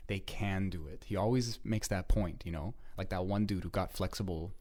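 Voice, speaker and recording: Low voiced french-native guy rambling on a podcast, laid back, cusses a bit. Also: moderate pace, a little mellow. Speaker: podcast co-host. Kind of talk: casual chat.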